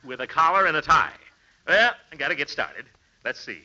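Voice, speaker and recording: An actor playing a vain, blustery, jovial middle-aged uncle in a 1940s radio comedy, pleased with himself. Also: male voice, a little high, very animated, moderate pace, bright, forceful tone, full character voice. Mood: self-important. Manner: blustery, self-satisfied, chuckling. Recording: muffled, faintly noisy old radio recording.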